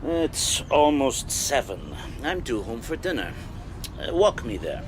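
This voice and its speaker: An actor playing a middle-aged professor in a radio drama, telling stories in a wry, deliberate, theatrical way. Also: male voice, mid-range voice, big pitch swings, background noise. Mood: wry, confiding. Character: wry, donnish male history professor, older mentor.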